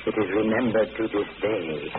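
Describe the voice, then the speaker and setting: A man's voice: on the low side, normal speed, lightly acted. An actor playing a graying, obsessive little man who dreams of destruction, in a theatrical 1940s radio monologue. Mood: musing, philosophic. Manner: musing, theatrical monologue with swelling intensity. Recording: tinny old radio recording, heavy noise.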